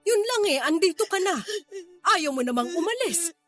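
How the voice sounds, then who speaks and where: Blunt, worried mother, high animated voice. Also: normal speed, exaggerated voice. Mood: worried exasperation. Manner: blunt, exasperated, emotional. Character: worried, blunt older mother, powerless yet protective. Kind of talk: radio drama acting.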